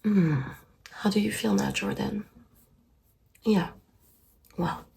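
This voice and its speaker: Woman playing a slow, whispering ASMR therapist. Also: medium pitch. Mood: calm, hypnotic. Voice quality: breathy, often whispered. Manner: slow, soothing, hushed, deadpan. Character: calm, soft-spoken woman therapist guiding a parody meditation. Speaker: ASMR performer. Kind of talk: whispered ASMR guided meditation roleplay.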